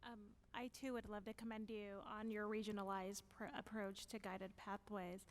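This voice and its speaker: A woman's voice: mid-range voice, level tone, moderate pace. Distant woman board member in a hall.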